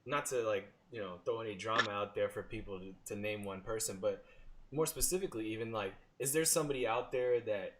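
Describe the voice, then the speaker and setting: A man hosting a podcast, asking his questions in a measured, careful way. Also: lowish voice, heavy background noise. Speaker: podcast host. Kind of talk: interview questions.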